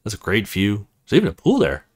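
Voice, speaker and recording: A man's voice: on the low side, wildly swooping pitch, fairly quick, darkish tone, husky, pitched below the performer's own voice, exaggerated voice. A man voicing a stern, teasing female officer in a visual novel, matter-of-fact and commanding. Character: stern, teasing military woman officer in charge.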